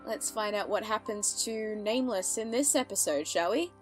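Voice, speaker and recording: A woman's voice: medium pitch, moderate pace. Rambling woman giving casual reaction commentary. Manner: rambling, thinking aloud. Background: animated audio drama playing with music.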